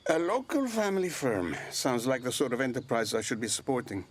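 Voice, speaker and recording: An actor playing an affable, self-assured garage customer in a radio soap. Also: male voice, mid-range voice, big pitch swings, a little fast, a little hiss or hum, close to an everyday voice. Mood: affable, brisk. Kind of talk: radio soap opera acting.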